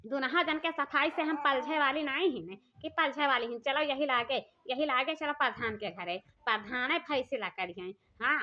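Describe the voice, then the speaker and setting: A woman's voice: sits high, moderate pace, hardly any pauses, close to an everyday voice. A woman playing an angry, scolding village farmer in a comic cartoon, speaking with an eastern Uttar Pradesh accent and repeating herself. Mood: defiant. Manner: scolding, repetitive, confrontational. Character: angry village woman farmer accusing thieves of stealing peanuts. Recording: dull lo-fi audio.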